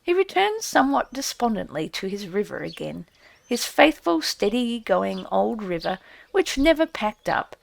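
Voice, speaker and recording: A woman narrating an audiobook in an expressive storybook style. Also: medium pitch, moderate pace, vocal fry.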